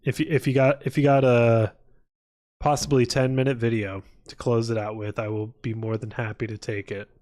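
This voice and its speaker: A man hosts a casual livestream in a medium-pitched voice. He rambles irreverently to his chat and swears freely as he goes. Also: level tone, normal speed, a little mellow. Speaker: live streamer.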